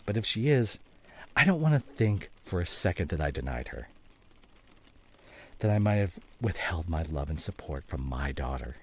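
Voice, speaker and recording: Estranged husband played by a male actor, audio drama: deep, husky, emotional and wounded, very wide-swinging delivery. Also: big pitch swings, heavily acted. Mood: pained, confessional. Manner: emotional, halting, swinging from muted to heated. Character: estranged husband and father, guilt-ridden, defensive, wounded. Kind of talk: dramatic audio roleplay, one side of a conversation.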